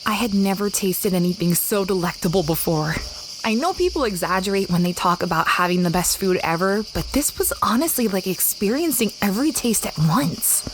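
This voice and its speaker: A woman's voice: medium pitch, expressive, fairly quick, background noise, close to an everyday voice. Actress as a young woman explorer narrating over chirping insects. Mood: wry, reflective. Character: adventurous young woman explorer recounting a strange expedition, fretful over her dog. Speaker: narrator and lead character. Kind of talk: first-person audio drama narration with acted dialogue. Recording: clear background ambience of jungle insects.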